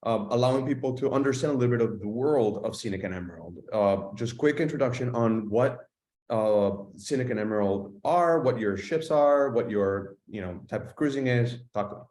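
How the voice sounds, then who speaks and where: A man's voice: medium pitch, animated, fairly quick, a little mellow. Guy hosting a webinar, lots of ums, mid voice, kinda echoey room. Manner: welcoming, loose with fillers. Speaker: webinar host.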